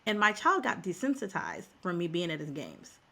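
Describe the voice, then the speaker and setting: A woman's voice: fairly low pitch, huge pitch swings, moderate pace, vocal fry, pushed and bright. A middle-aged woman who works as a career coach, talking as a guest in a podcast interview. She is emphatic and repeats words for stress.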